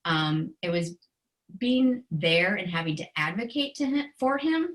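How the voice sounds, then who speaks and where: Earnest American woman, slightly low-voiced. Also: lively intonation, measured pace. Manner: earnest, emotionally open, conversational. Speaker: guest author and special education educator. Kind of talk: personal anecdote in an interview.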